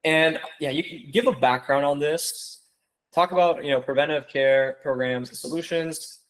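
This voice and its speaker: A man's voice: a little high, fairly even intonation, normal speed, poor audio. A young man giving a casual online seminar, rambling with lots of "like" and "you know" and calling his viewers "guys.". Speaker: webinar presenter.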